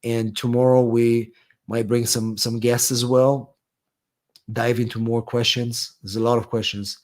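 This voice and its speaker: A man's voice: medium pitch, little pitch movement, measured pace, a little mellow. A man, an immigration attorney, hosting a live question-and-answer stream, reading out viewers' questions and answering them in a loose, conversational way with many fillers. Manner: conversational, many ums and restarts, reads viewer questions aloud.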